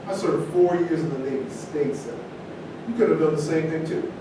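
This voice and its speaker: Guy reading a firm earnest navy vet, reproachful, stage play, echoey room w rumbly noise. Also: fairly quick, natural voice. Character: principled male actor and Navy veteran, reproachful. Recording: echoey room, distant mic, noisy rumble.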